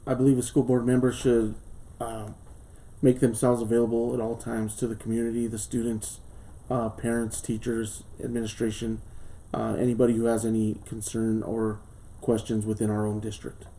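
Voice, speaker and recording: A man in his late thirties, a school board candidate from southern Colorado, reading a formal speech and answering questions earnestly, with pauses and fillers, in a small studio. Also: medium pitch, completely flat delivery, warm tone. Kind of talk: prepared campaign speech and interview answers.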